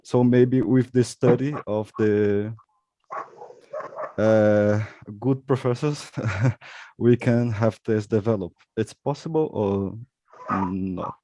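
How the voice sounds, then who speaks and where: Brazilian man on a video call, low dark voice, halting English. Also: dry recording. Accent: Brazilian Portuguese. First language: Portuguese. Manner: hesitant, searching for words. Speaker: seminar participant.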